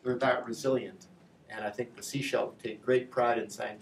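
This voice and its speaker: A middle-aged man speaks as an industry representative at a panel discussion. His delivery is measured and halting, with points repeated for emphasis. He sounds far from the microphone in an echoey room, with faint noise. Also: mid-range voice, steady tone, creaky. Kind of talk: public presentation at a panel discussion. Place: indoor lecture room with slide screen.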